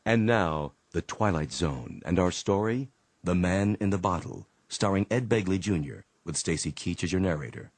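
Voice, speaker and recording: A man's voice: low voice, lively intonation, moderate pace, slight background noise. A man announcing a radio drama in a scripted presentation style.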